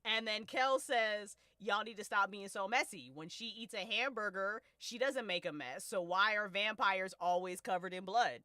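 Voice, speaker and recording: A woman's voice: medium pitch, moderate pace, few pauses, sharp tone, no room sound, studio quality. A woman hosting a casual, slangy group chat, playful and freely swearing. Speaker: host of a fiction podcast listen-along event.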